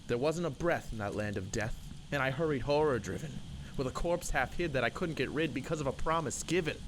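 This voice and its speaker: Man reciting dramatic verse over loud noise. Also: mid-range voice, brisk. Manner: theatrical, rhythmic, emphatic. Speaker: poem reader. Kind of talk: dramatic narrative poetry recitation. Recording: loud background noise and ambience.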